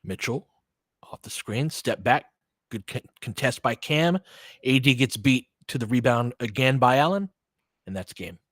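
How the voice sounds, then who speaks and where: A man with a medium-pitched voice hosts live basketball play-by-play. He talks in casual, slangy fan language, often in short clipped phrases. The sound is dry, with no room echo. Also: expressive, measured pace, fairly bright tone. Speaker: play-by-play host of a fan stream.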